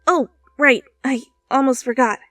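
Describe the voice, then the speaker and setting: A woman's voice: sits high, measured pace, fairly bright tone, dry recording, faint music behind, voice pushed up. A woman voicing an anxious, sincere schoolgirl with a hesitant, stammering manner in storybook dialogue. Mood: flustered, grateful. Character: anxious, grateful bullied schoolgirl, sincere and flustered.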